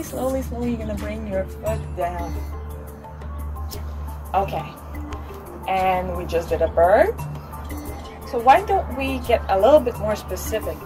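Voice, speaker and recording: A young adult woman leading a movement lesson for children outdoors, giving simple, encouraging step-by-step instructions from a little way off the camera. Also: medium pitch. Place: outdoors on a covered wooden deck. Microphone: camera a few steps away. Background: music.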